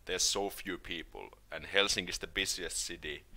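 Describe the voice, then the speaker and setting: A man with a Finnish accent hosting a relaxed live stream and answering questions from the chat. His voice sits low but has a bright, forward edge. He talks loosely and hesitantly, restarting sentences and filling pauses with "like" and "uh". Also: rough recording. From Finland.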